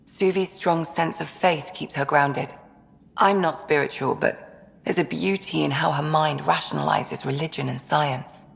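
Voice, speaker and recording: A perceptive, calm counselor for a video game crew. Her voice is very low and gravelly, her manner measured and reassuring, heard in a slightly reverberant room. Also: full character voice. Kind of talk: video game dialogue acting.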